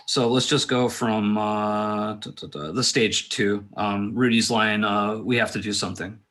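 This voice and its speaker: Low-voiced man reading screenplay directions. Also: steady tone, a little slow, a bit bright. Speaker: director reading stage directions at a table read.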